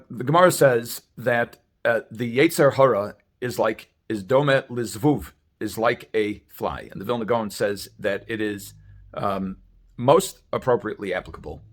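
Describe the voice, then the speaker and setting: A man with a fairly low voice delivers a rabbi's sermon. He explains religious sources deliberately, hesitating often as he works through each point.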